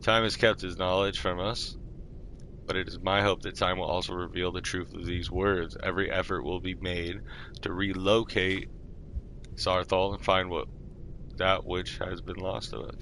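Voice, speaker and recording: A man streaming a game roleplay, reading lore aloud in a measured, formal way. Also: deep voice, little pitch movement, fairly bright tone, slight background noise, rough recording.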